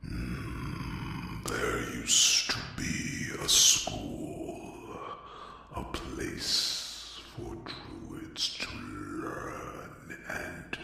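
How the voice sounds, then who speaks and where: A man playing a grave, ancient dragon in a whispered ASMR fantasy roleplay, with slow, halting, ponderous speech and musing hums. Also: rumbling low, flat delivery, vocal fry, bright, forceful tone, exaggerated voice. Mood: pensive. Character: grave, ancient male dragon counselling a human companion. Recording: distant, echoey cavernous reverb, faint background noise.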